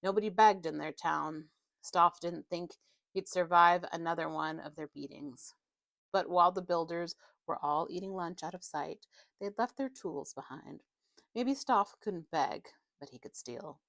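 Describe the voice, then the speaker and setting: A woman with a slightly low voice narrates a horror novel. She reads as an audiobook narrator, in a dramatic scripted style. The recording is dry and close, with little room around her. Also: a little slow, creaky, fairly bright tone, no room sound.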